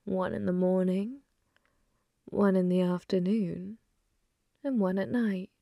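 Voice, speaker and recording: A woman voicing a flirty, possessive female demon bartender in an intimate ASMR roleplay, teasing and unhurried, sometimes slipping into whispers. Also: medium pitch, fairly even intonation, vocal fry, warm tone, dry recording, clean studio sound.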